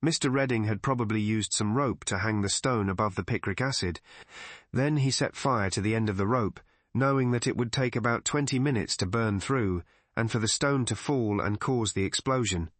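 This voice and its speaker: A man voicing a shrewd, calm elderly woman detective in an audiobook mystery, explaining in a measured, methodical way. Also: on the low side, no room sound, close to an everyday voice. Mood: methodical, assured.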